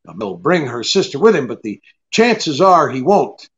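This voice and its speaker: An actor playing a cool, brisk, sardonic private detective in an old-time radio drama, with a clipped, take-charge manner. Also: male voice, fairly high pitch, very animated. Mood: businesslike. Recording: dull lo-fi radio-play recording.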